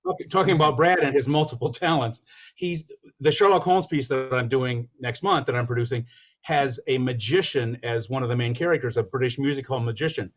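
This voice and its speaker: A man's voice: medium pitch, fairly quick, highs cut off. A middle-aged or older man talking casually as a guest on a chat. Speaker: guest, radio drama producer and performer.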